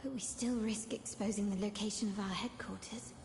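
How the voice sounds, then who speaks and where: A woman's voice: medium pitch, steady tone, brisk, gravelly, darkish tone, a little hiss or hum, natural voice. A woman playing a poised, commanding young mafia heiress in a video game, composed and decisive, with an imperious manner. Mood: calm, decisive.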